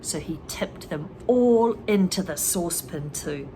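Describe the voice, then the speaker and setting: A woman's voice: on the low side, expressive, measured pace, dark tone, a little hiss or hum. A woman reading a children's story aloud and stopping to explain English words and expressions to learners. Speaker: reader and English teacher.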